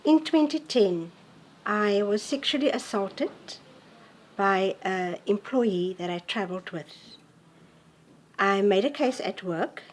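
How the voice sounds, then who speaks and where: A woman in her late forties giving earnest, halting personal testimony to camera. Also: mid-range voice. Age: 40s. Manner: halting, earnest, soft-spoken. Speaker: interviewee.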